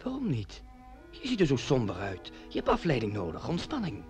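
An actor playing an earnest young Parisian suitor on holiday in a radio play, with music behind him. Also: male voice, mid-range voice, huge pitch swings, a little fast, darkish tone. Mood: earnest, tender. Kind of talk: radio drama acting.

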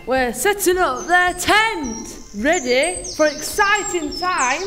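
A woman's voice: very high voice, animated, slow, music in the background. A woman narrating a scripted children's story as the narrator.

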